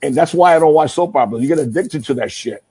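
A man's voice: on the high side, quick pace, dark tone, husky, no room sound. A middle-aged man, a guest on a talk show, telling rambling stories about his family. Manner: rambling, emphatic, with restarts. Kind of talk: casual storytelling.

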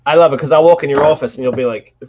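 A man's voice: on the high side, very fast. Male co-host on a noisy, muffled line. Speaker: radio co-host. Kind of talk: casual banter.

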